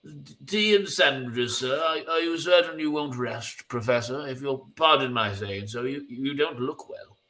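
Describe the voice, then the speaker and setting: A man voicing a solicitous comic-book butler, deferential and gently hesitant. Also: medium pitch, moderate pace, few pauses, natural voice. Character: polite, solicitous male butler.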